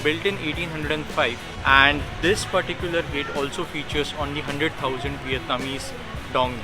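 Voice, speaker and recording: Male travel vlogger over loud music. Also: a little high, fairly even intonation, moderate pace. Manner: explanatory, guide-like delivery. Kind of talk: travel vlog narration to camera. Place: outdoors at tourist sites.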